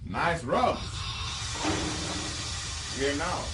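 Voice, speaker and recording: Laid back jamaican rasta spaceman in a radio play, lively pitch, music under him. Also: extremely slow, heavily acted. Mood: wary, easygoing. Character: easygoing Rastafarian spacer, loyal and sturdy. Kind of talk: radio drama acting.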